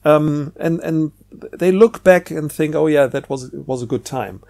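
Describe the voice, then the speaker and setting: A man's voice: a little high, unhurried, vocal fry, a little mellow. A middle-aged man talking casually and unscripted about books, hesitant and rambling, often repeating words as he thinks aloud. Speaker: book reviewer.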